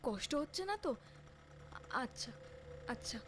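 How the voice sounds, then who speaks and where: A woman's voice: on the high side, very animated, measured pace, slight background noise. A woman playing a mysterious, politely insistent young woman in a cartoon story. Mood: calm, insistent.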